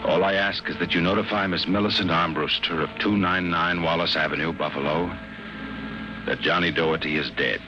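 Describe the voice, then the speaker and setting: An American actor playing a brash, wry young private detective in 1950s radio drama. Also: male voice, low voice, steady tone, a little fast, muffled recording, background noise, close to an everyday voice. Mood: grim, resigned.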